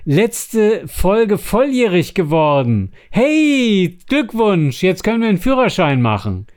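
A man's voice: high-pitched, sing-song, darkish tone, clean tone. A man co-hosts a podcast. He chats casually and offers practical tips, often restarting words as he goes. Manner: halting, stammering over word starts. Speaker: podcast co-host.

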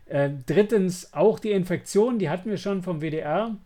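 A man's voice: sits high, normal speed, dark tone. A man co-hosting a podcast in casual chat, searching for his words as he goes. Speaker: podcast host. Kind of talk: casual chat about audio dramas.

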